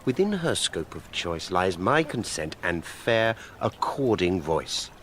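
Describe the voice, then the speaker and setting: Forceful, animated aging noble father. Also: low-pitched, a little hiss or hum, heavily acted. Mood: hospitable. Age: older. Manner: measured, courtly, dramatic. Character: aging noble father, measured, hospitable, authoritative. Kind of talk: Shakespearean full-cast audio drama acting.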